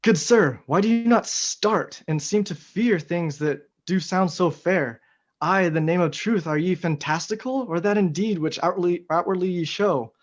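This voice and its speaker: A man's voice: high voice, moderate pace. A man playing a bold noble general who questions and declaims in a Shakespearean radio play. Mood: wary questioning. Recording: dull, lo-fi sound.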